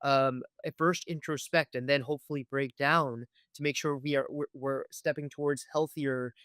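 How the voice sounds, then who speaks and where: A man hosting an interview podcast, asking wordy, halting questions and often checking for agreement as he goes. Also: mid-range voice, fairly even intonation, a bit bright, smooth, dry recording, clean studio sound. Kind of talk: conversational interviewing.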